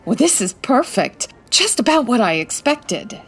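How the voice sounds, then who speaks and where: A woman's voice: on the high side, sing-song, unhurried, slightly rough, soft background music, full character voice. A woman playing a confident, wry 1940s private detective in a radio-style comedy drama, breezy and teasing. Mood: brisk, confident. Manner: breezy, teasing, self-assured.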